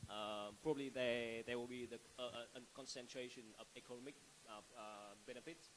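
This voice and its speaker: A man's voice: mid-range voice, steady tone, a little fast, a bit bright, low-quality recording. A man moderating a panel, framing questions hesitantly, repeating words and checking for agreement. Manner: hesitant, repetitive, seeks agreement with tag questions. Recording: live stage microphone with faint hiss.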